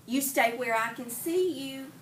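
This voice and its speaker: A woman's voice: sits high, measured pace, a bit bright, roomy sound. A woman reading aloud as a weary, wry mother of a young girl in a picture book. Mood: cautious. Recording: distant mic in a noisy room.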